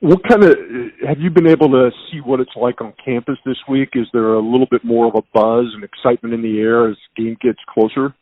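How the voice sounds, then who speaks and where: A man's voice: medium pitch, fairly quick, hardly any pauses, no room sound, narrow, tinny audio. A man hosting a sports show, putting questions to a guest in a conversational interview style.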